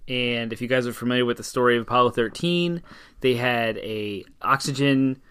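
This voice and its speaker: Man, podcast co-host, sharp bright tone, conversational, teasing and questioning. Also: mid-range voice, clear, resonant tone. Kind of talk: casual podcast banter.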